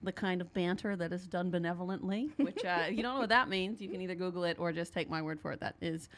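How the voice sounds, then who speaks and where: A woman hosts a relaxed podcast chat with a playful, enthusiastic manner and fills the gaps with encouraging yeahs. Her voice sits on the low side for a woman. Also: quick pace. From not from New Zealand. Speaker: podcast host. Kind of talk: casual interview chat.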